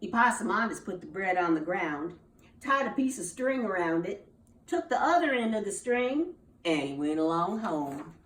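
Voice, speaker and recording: A woman telling a children's story aloud in an animated, rhythmic way, with repeated phrases and dramatic pauses. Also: medium pitch. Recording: echoey room, distant from mic.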